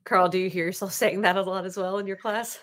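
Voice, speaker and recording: A woman's voice: medium pitch, steady tone, quick pace, a bit bright. A woman hosting a panel discussion in a casual, conversational way. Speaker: host moderating a virtual panel.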